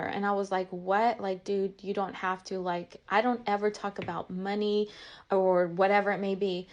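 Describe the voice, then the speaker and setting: A woman candidly telling a personal story in an emotional, venting way, passionate and emphatic, with "like" peppered all through her sentences. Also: medium pitch. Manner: emotional, venting, says "like" constantly. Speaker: online content creator.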